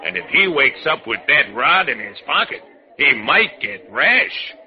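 An actor playing a wry, commanding hard-boiled detective, narrating deadpan in a 1940s-style radio drama. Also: male voice, mid-range voice, a little slow. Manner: deadpan, wisecracking. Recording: dull old radio recording.

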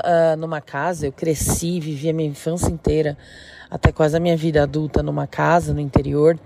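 Brazilian woman in her 30s from são paulo state, mellow voice, chatty, telling her own story. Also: low voice. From interior of São Paulo state, Brazil. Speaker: listener caller telling a personal story. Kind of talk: casual spoken storytelling.

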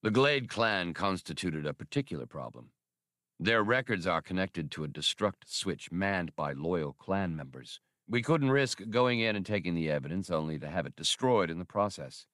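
A man's voice: sits low, sing-song, normal speed, a bit bright, dry recording, studio quality. A man voicing a suave, mustached veteran gunman in an audiobook, speaking in a polished, coolly amused manner. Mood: smooth, explaining.

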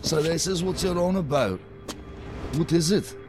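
An actor in an audio drama playing a curious, wary mate who talks in a cautious, hushed way. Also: male voice, a little high, moderate pace, music in the background, natural voice.

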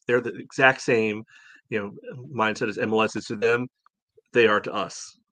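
A man's voice: lowish voice, a bit bright, dry recording. A man hosting a soccer podcast, giving blunt, indignant opinions and leaning often on "you know". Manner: frequent "you know" filler. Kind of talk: opinionated sports podcast discussion.

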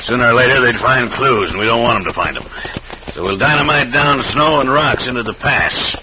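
Man as bossy scheming western villain, mid-pitched, folksy, very noisy muffled vintage radio drama. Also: brisk, lightly acted. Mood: scheming, commanding. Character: crooked, scheming railroad marshal, murderous gang boss. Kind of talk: old-time radio western acting.

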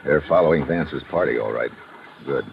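Sardonic American adventurer, very deep pitch, old radio drama style, heavy background noise. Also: male voice, normal speed, creaky. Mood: wary. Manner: wry, sardonic, deadpan. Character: tough, wry American adventurer hero in the jungle. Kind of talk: old-time radio drama acting and narration. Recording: muffled, noisy old radio recording.

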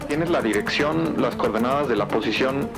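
A man's voice: medium pitch, normal speed, loud music behind the voice, natural voice. A man playing a cooperative, efficient police tech specialist in an audio drama. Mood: helpful, efficient. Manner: cooperative, businesslike. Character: helpful, efficient male police tech colleague.

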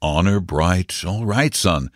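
Man as an adult uncle, storybook character, measured, amused, gently questioning, dry close sound. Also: sits low, dry recording. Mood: serious.

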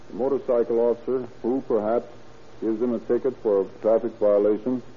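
Husky, formal male official, tinny radio. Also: medium pitch. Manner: stiff, solemn, deliberate phrasing. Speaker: police chief of detectives, guest speaker. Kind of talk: formal scripted radio address. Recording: tinny vintage radio recording.

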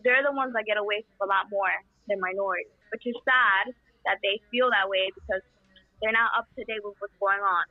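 A young woman from El Salvador, answering interview questions in an impassioned, halting way with many fillers. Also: medium pitch, steady tone, no echo. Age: young adult. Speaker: interview guest. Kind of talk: earnest conversational answers. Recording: tinny phone-like line.

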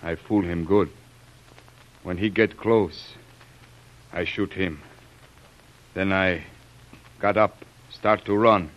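Deep-voiced wounded native tribesman, halting. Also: fairly even intonation. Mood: strained, proud. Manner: slow, halting broken English. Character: wounded native tribesman, loyal and proud, broken pidgin speech. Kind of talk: old-time radio serial acting. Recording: noisy old radio recording.

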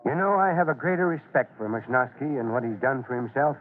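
An actor playing a steady, fatherly fire chief in a measured, authoritative way in an old radio drama. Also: medium pitch, close to an everyday voice. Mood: grave, suspicious. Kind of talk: 1940s radio drama acting. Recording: tinny, band-limited old radio recording.

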